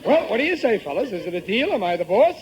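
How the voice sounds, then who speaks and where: A man's voice: sits high, sing-song, fast, background noise. A man playing a gullible, cocky working-class father in a 1950s radio comedy, exclaiming in ungrammatical everyday speech. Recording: vintage 1950s radio recording.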